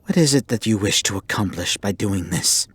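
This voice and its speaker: A man playing a haughty, grudgingly caring male kitsune in an ASMR roleplay, speaking formally and theatrically with a breathy, husky voice. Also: fairly low pitch, lively intonation, normal speed, fairly bright tone, exaggerated voice. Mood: sarcastic, exasperated. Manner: formal, theatrical, exasperated scolding. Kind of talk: ASMR roleplay acting, addressing the listener.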